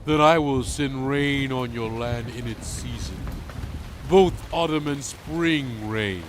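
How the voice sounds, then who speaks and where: A man playing a solemn, commanding biblical prophet in a dramatized scripture reading, speaking in a measured, emphatic, exhorting way. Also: medium pitch, background noise, close to an everyday voice. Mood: earnest, exhorting. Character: solemn commanding male biblical prophet addressing his people.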